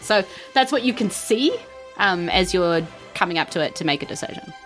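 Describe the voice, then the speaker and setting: A woman's voice: fairly low pitch, animated, normal speed, fairly bright tone, soft background music. A woman with a New Zealand accent, narrating a tabletop game as game master in an informal, conversational way. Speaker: game master, podcast host.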